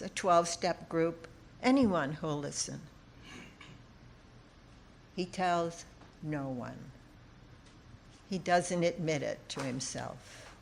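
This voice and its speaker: Slow, deliberate woman reading an essay. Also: lowish voice, a little hiss or hum. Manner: deliberate, dramatic phrasing with pauses. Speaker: essayist reading own work. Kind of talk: literary personal essay reading.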